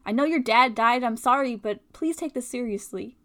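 A woman's voice: on the high side, moderate pace, fairly bright tone. A young woman playing a blunt, anxious but kindhearted college student in a dramatic play reading. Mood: angry, hurt. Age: young adult.

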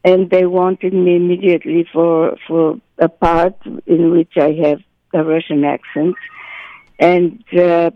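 Gravelly-voiced actress talking shop. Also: female voice, on the low side, measured pace, highs cut off. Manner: animated, restarts phrases often. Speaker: actress, guest. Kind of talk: interview chat.

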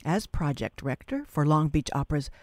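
A man's voice: fairly high pitch, sing-song, a little fast, dry recording. A man hosting an interview program, reading out a scripted biography to introduce a guest.

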